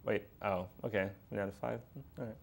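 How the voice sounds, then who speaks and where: A man's voice: on the low side, steady tone, brisk, creaky, slight background noise. A young man in casual, conversational review chat as a co-host of a film show.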